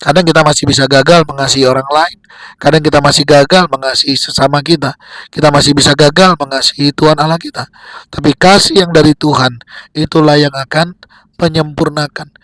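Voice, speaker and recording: A man giving a devotional sermon, exhorting in short, repeated phrases with pauses between them. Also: a little high, steady tone, a bit bright. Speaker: preacher giving a daily devotional.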